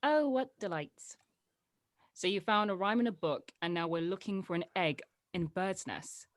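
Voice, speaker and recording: A woman's voice: lowish voice, moderate pace, no echo, natural voice. A woman playing a sarcastic, headstrong teenage daughter in an audio drama table read, teasing her way through the lines.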